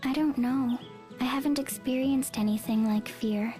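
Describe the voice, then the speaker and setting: A woman voicing a calm, fearless girl in a video game, matter-of-fact and curious. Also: high-pitched, normal speed, faint music behind, lightly acted. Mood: calm curiosity. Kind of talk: English video game cutscene acting.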